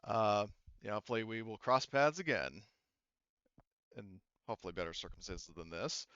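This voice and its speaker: A man's voice: lowish voice, animated, moderate pace, a bit bright, clear, resonant tone, no room sound, dull audio. A man working as game master, chatting and narrating a tabletop game in a wry, explanatory way.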